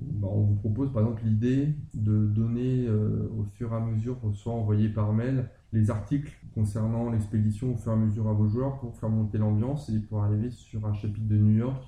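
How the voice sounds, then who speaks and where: A man's voice: lowish voice, little pitch movement, moderate pace, hardly any pauses, dark tone, reverberant. A man co-hosting a podcast, talking casually and hesitantly, with many fillers and restarts. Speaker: podcast co-host discussing a roleplaying campaign.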